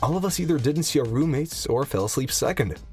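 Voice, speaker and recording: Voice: mid-range voice, lively intonation, brisk, darkish tone, soft background music, lightly acted. A performer playing a level-headed, logical young trial participant in an audio drama, explaining things step by step. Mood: calm, reasoning. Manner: reasoning, explanatory. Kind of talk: anime-style audio drama.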